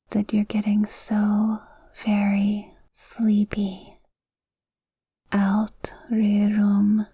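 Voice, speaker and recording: A woman voicing a cute witch in an ASMR roleplay, softly whispering a hypnotic spell in a soothing, breathy way, close to the mic. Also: mid-range voice, no room sound, full character voice. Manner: slow, soothing, hypnotic, drawn-out phrasing. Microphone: close microphone, dry.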